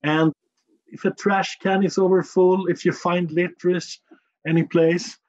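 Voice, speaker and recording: A man from Sweden with a Stockholm accent, a non-native English speaker answering interview questions in a measured, plain-spoken way with small slips. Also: on the high side, muffled recording. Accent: Stockholm Swedish. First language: Swedish. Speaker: guest, communications manager.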